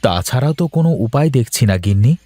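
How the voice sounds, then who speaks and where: A man's voice: mid-range voice, animated, fast, warm tone, no echo, lightly acted. A man voicing a weary, worried but proud working husband in a cartoon, with a homely, complaining delivery. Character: weary, worried married office worker, proud and plain-spoken. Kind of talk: Bengali cartoon voice acting.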